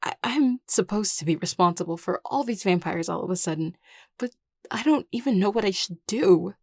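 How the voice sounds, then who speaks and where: A woman's voice: medium pitch, fairly even intonation, slow, creaky, mellow, no echo, clean studio sound. A woman voicing an anxious, self-doubting young vampire leader in a scripted audio roleplay, hesitant, stammering and apologetic. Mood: anxious, upset.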